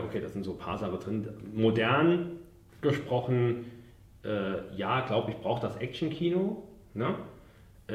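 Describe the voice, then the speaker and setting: A man discussing films casually, in a halting way, often ending statements with a short tag question. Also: mid-range voice, a little mellow. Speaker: podcast host and military historian. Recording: echoey room, distant from the mic.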